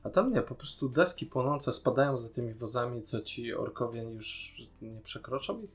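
A man's voice: medium pitch, normal speed. A man with a Polish accent co-hosting a podcast, chatting casually and critically in an incredulous, griping way. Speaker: podcast co-host. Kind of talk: casual critical discussion of a series.

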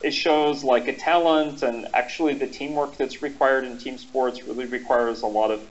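A man's voice: mid-range voice, fairly even intonation, moderate pace, a little hiss or hum. A man speaking as a guest expert in a thoughtful conversation, reflective and full of ums and restarts. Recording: dull lo-fi audio.

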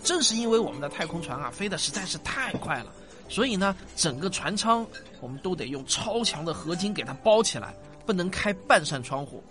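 An actor playing an affectionate, indulgent father in a science-fiction radio drama, coaxing and exclaiming with sighs. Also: a little high. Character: affectionate, indulgent father humouring his young daughter. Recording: music bed behind the voice.

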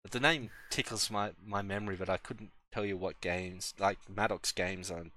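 A man's voice: low-pitched, fairly even intonation, moderate pace, bright, forceful tone. A man hosting a casual podcast, laid-back and often repeating words as he starts his sentences. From Australia. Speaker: podcast host.

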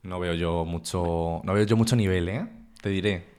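A man's voice: deep voice, fairly even intonation, moderate pace, darkish tone, smooth. A man co-hosting a casual podcast, joking and teasing, swearing freely, recorded in his guests' home. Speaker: podcast co-host. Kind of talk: casual banter.

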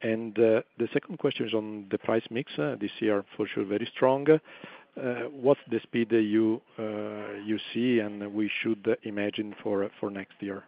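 Lowish male analyst, tinny phone line. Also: fairly even intonation, unhurried, gravelly. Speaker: financial analyst. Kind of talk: asking questions on an earnings call. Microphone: conference call line. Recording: tinny, dry phone line, uneven level.

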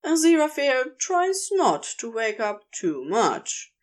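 Casting: woman narrator for audio fiction, leisurely delivery with sweeping, animated intonation. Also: high voice, slow. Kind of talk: expressive scripted story narration.

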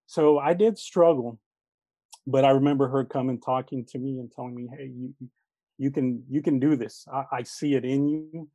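Earnest man, warm dark voice. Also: mid-range voice, steady tone, moderate pace, no room sound, muffled recording. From Texas, United States. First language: Spanish. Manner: earnest and reflective, many fillers like "uh" and "you know". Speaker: elementary school teacher, interview guest. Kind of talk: conversational interview answers.

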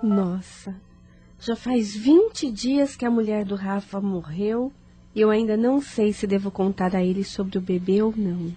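A young woman playing an anxious, troubled daughter in a radio drama, with plaintive, expressive delivery. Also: mid-range voice, unhurried, faint music behind, natural voice. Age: young adult.

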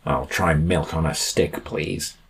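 A man voicing a downcast, long-suffering companion in a story, quiet, polite and deadpan. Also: rumbling low, takes it slowly, gravelly, husky, full character voice. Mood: dejected.

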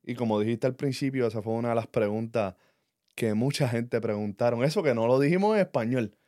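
Puerto Rican man, 30s, podcast co-host. Earnest, reflective storyteller, uses fillers. Mid pitch, dead-dry room. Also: fairly quick, dark tone, no echo. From Puerto Rico. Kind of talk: casual personal chat.

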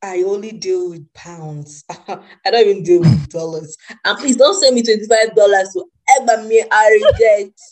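A woman's voice: medium pitch, moderate pace, a little mellow, rough recording. Playful Nigerian woman in her twenties. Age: 20s. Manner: playful, joking, laughs often. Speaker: podcast co-host. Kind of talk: casual chat.

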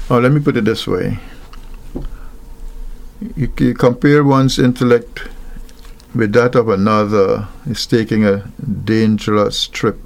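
Gravelly man from Guyana, halting. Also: mid-range voice. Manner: thoughtful, halting, many fillers and restarts. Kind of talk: reflective talk on marriage.

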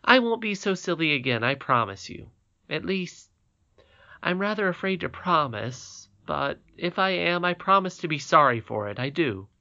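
A man's voice: a little high, sing-song, normal speed, sharp tone, no room sound, voice pushed up, full character voice. A man reading aloud and voicing an earnest, affectionate young princess girl in a bedtime story, in a dull lo-fi recording. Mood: pleading.

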